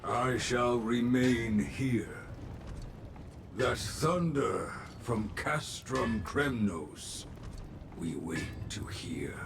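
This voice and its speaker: A man's voice: mid-range voice, animated, creaky, noisy background, full character voice. A man playing a loyal craftsman in a video game, speaking ceremoniously in an archaic, formal way. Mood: solemn farewell. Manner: slow, ceremonious, inverted word order. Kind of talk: dramatic video game voice acting. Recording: game dialogue over loud music.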